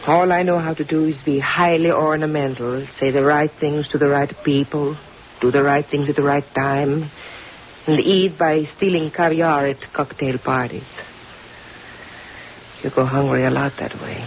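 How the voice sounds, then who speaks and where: A woman's voice: low-pitched, steady tone, moderate pace, natural voice. An actress playing a world-weary, glamorous society woman with a languid manner in an old-time radio drama. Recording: muffled old radio recording, faint hiss.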